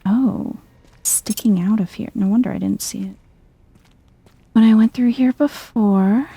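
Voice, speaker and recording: A soft-spoken woman giving chill, casual game commentary in an ASMR vibe, murmuring as if to herself. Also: mid-range voice, fairly even intonation, a little slow, gravelly, husky, dry recording. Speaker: gameplay streamer.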